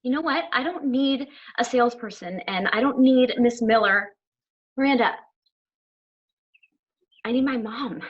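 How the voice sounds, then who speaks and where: A high, muffled video-call voice from a woman playing a flustered teenage girl. She is anxious and unsure, in the manner of a sitcom script read. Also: a little slow, long pauses, close to an everyday voice.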